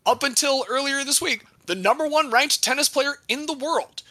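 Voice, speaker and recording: A young man talks sports as a podcast host, quick to joke and swear. His voice sits high and sounds bright and forward. The recording is dry, with no room sound. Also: no echo. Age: young adult. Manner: animated, jokey, swears casually. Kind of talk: casual sports chat.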